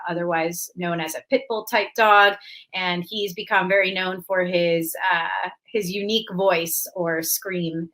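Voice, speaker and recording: A woman talking as a guest on an online meeting, friendly and quick, with some ums. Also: on the low side, sharp tone, rough recording. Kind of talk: casual online meeting chat.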